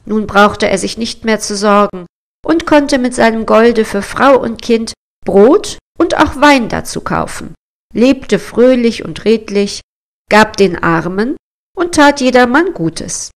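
A woman storyteller narrating a scripted fairy tale in a measured, expressive storybook manner. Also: a little high.

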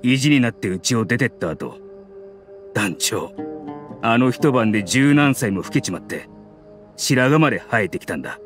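Actor as a grim loyal mercenary man in a game dub, grave and measured, mid-pitched, soft music behind. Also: animated, lightly acted.

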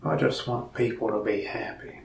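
A young man playing a lonely, friendly mask shop salesman in a soft-spoken ASMR roleplay, talking slowly and often slipping into a whisper. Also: medium pitch, roomy sound, distant mic, slight background noise, lightly acted. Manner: slow, soft-spoken, gravelly with frequent whispered stretches. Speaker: ASMR creator. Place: small indoor room, mask wall behind.

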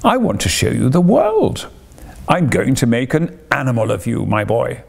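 A man's voice: mid-range voice, wildly swooping pitch, normal speed, vocal fry, slightly rough, heavily acted. A man doing an exuberant boastful toad. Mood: imploring. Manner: exuberant, theatrical, pleading. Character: boastful excitable male toad, grand and pleading.

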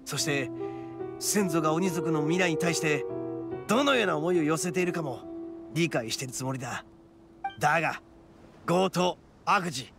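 An actor playing a brash, proud young male oni gang boss in a video game, with rough, cocky, emphatic speech. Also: on the high side. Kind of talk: dramatic video game voice acting.

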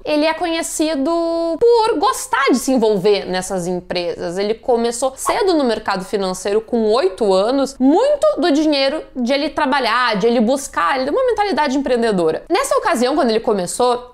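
A young woman with a high, bright-sitting voice hosts a finance channel from a room at home. She talks to camera in a lively, chatty way with lots of gesture, recorded close on a lapel mic. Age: young adult. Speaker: finance YouTuber. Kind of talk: scripted explainer to camera. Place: home room with bookshelves, indoors.

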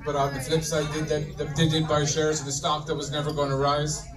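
A middle-aged man with an Irish accent doing a stand-up comedy routine in a deadpan manner, swearing freely and talking straight to the audience. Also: on the high side, fairly quick. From Ireland. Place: club stage in front of a laughing audience. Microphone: handheld mic, filmed from the audience. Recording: distant room recording with crowd laughter.

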